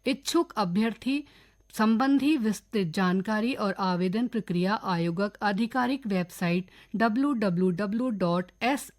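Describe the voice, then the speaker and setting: A woman newsreader with a Kumaoni accent, reading a scripted regional radio bulletin in a steady, formal way. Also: mid-range voice. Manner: steady, formal news delivery.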